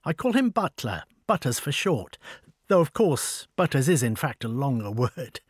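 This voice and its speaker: Posh older lady in a storybook, brisk and teasing, pitched up, lots of swing in the voice, man doing her. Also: big pitch swings, breathy edge, no room sound, clean studio sound. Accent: upper-class English. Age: over forty. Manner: brisk, commanding, wry and talkative. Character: tall, proud older woman, brisk and teasing. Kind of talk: audiobook dialogue.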